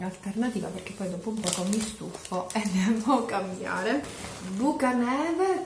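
A woman's voice: medium pitch, echoey room, slight background noise. A woman, chatting to camera as a vlogger, explaining things with lots of fillers. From Palermo, Sicily. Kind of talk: grocery haul chat to camera. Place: indoors, small home room. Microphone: camera at close range.

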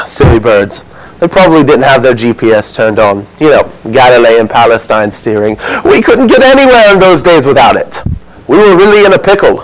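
A flustered innkeeper of ancient Bethlehem, acted out by a man in a comic stage monologue. He has a mid-pitched voice and tells his story defensively, rambling through excuses with wounded indignation. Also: big pitch swings, normal speed, lightly acted, poor audio. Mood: sheepish, explaining.

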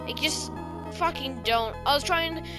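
A woman's voice: sits high, unhurried, over music. A woman giving casual, rambling let's play commentary as a gaming host, swearing often.

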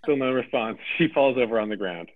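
A man's voice: mid-range voice, lively intonation, a little fast. A man reading the stage directions at a comedy table read, amused and laughing between lines. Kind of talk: reading a comedy script aloud. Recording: tinny phone-like sound.

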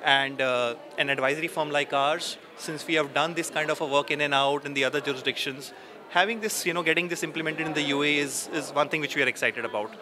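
A man's voice: a little high, fast talker. A man in his thirties, a tax advisor, speaking fluently with many "you know"s in an event interview on a handheld mic. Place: busy indoor event, press backdrop. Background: crowd chatter.

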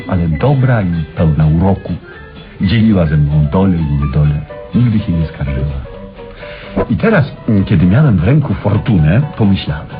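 An actor playing an eccentric, obsessive male inventor in a radio play, telling his story in a theatrical, halting way with dramatic pauses. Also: deep voice. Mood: wistful, dreamy. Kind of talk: Polish radio play acting. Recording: dull old radio drama recording.

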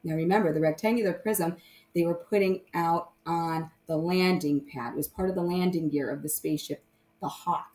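A woman's voice: fairly low pitch, steady tone, moderate pace, darkish tone. A woman teacher explaining things informally to young children, often checking in with short tag questions. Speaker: primary math teacher.

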